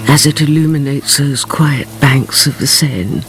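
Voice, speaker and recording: A woman acting as a solemn storyteller, narrating a radio drama in a poetic, deliberate manner. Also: low-pitched, background noise.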